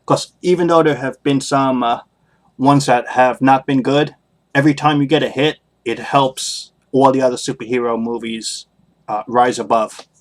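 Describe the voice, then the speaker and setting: A man with a mid-pitched voice talks as a solo podcast host. His commentary is casual and opinionated, with emphatic stress on key points. He tends to circle back and restate things in a critical manner. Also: fairly even intonation, normal speed.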